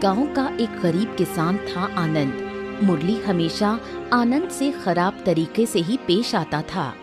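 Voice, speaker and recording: A woman's voice: mid-range voice, expressive, slow pace. A woman narrating a scripted moral story as a storyteller. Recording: music behind the voice.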